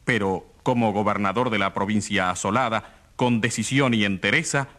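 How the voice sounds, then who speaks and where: A man's voice: lowish voice, moderate pace, fairly bright tone, close to an everyday voice. A man acting a studio announcer, reading scripted profiles in a formal, declamatory way for a radio drama.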